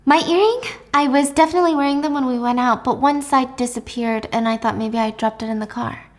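A woman's voice: high voice, fairly quick, close to an everyday voice. A woman voicing a nervous, polite young married woman in a drama recap, apologetic and flustered. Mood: nervous covering.

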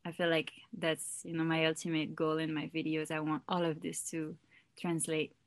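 A woman's voice: deep voice, normal speed. A young woman from France, a singer talking casually in an interview, full of "like" and "you know". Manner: relaxed, loose, heavy with "like" and "you know". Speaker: singer and songwriter guest.

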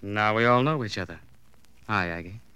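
An actor playing a wry, needling police detective in an old radio crime drama, sarcastic and taunting. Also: male voice, lowish voice, normal speed, pushed and bright, clear, resonant tone.

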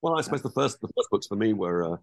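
A middle-aged man with a low, gravelly, slightly husky voice talks through ideas in a thoughtful, halting way, often stopping to restart a phrase. The sound is dry and close, with no room around it. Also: big pitch swings, no echo. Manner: hesitant, many restarts and fillers, reflective. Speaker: author guest. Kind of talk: academic interview over a call.

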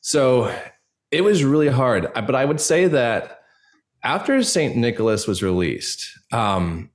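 A man speaking reflectively as a podcast guest, earnest and halting, with a self-deprecating way of talking. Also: mid-range voice, sing-song. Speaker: podcast guest, audio drama creator. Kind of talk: reflective interview conversation.

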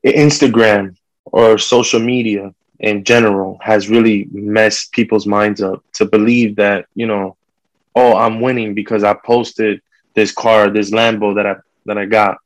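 Man, low-pitched voice, informal slangy talk, casual podcast chat, dry close sound. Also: flat delivery, unhurried, no echo, low-quality recording. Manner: informal, uses street slang. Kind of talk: casual conversation.

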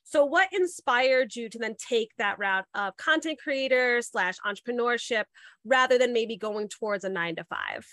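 A woman's voice: on the high side, expressive, normal speed, a bit bright, no room sound. A woman in her late twenties hosting a casual podcast interview, bubbly and upbeat, laughing often. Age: 20s. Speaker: podcast host.

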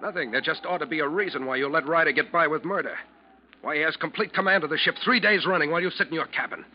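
An actor playing a blunt, confrontational ship's officer in a 1940s radio drama. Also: male voice, fairly high pitch, fast, lightly acted. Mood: indignant. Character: indignant, insistent male ship's officer. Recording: dull, noisy old radio recording.

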